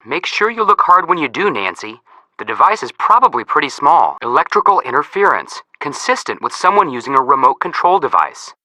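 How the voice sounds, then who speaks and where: A playful, cocky young male detective from a video game. His bright voice swings widely in pitch and sounds close and dry. He is breezy and theatrical, always wisecracking. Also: very animated, brisk, dry recording, heavily acted. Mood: wry. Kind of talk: scripted game voice acting.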